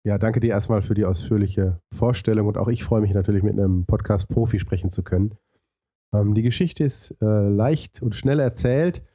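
Dark-voiced man with a lisp. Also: on the low side, normal speed, no echo. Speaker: interview guest, podcaster and consultant. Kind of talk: conversational interview storytelling.

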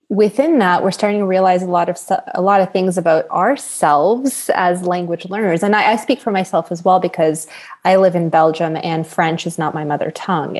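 A woman's voice: on the low side, a little mellow. Chatty woman trainer. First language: English. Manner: chatty, with many fillers. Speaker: business English trainer. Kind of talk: conversational interview answers.

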